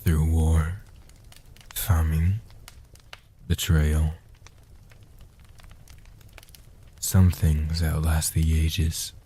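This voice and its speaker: A man playing an ancient, protective male vampire in a soft-spoken comfort roleplay, speaking in a breathy, hushed, deliberate and soothing way. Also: extremely low pitch, level tone, heavily acted. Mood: solemn, reverent. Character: ancient male guardian vampire, protective, tender, world-weary.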